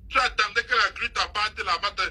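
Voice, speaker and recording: A woman's voice: mid-range voice, brisk, sharp tone, husky. A woman hosting a live stream, scolding and mocking someone in an insistent, repetitive gossip rant. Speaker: social media live host.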